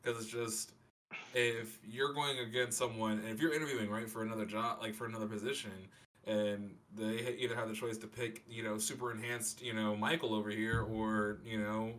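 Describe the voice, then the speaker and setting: A man's voice: lowish voice, little pitch movement, fairly quick, a bit bright, rough recording. A man chatting informally as a podcast panelist, with slangy, sweary phrasing. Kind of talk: casual podcast chat.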